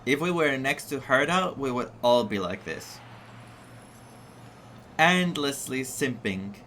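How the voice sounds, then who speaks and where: A woman's voice: extremely low pitch, animated, takes it slowly, a bit bright, a little hiss or hum. A woman from Greece, giving casual, wry and teasing commentary as she plays a story game. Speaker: gamer reacting to a story quest.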